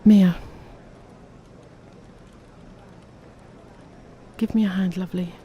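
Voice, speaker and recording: A woman playing an exhausted, strained but loving mother in an audio drama, with clear background noise. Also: on the low side, steady tone, fast, warm tone, lo-fi sound, close to an everyday voice. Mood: gentle.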